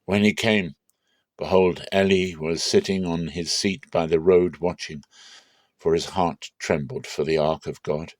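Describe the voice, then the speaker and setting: A man reading scripture aloud dramatically, in a measured, solemn and expressive way. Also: low-pitched, no echo, studio quality. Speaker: Bible reader.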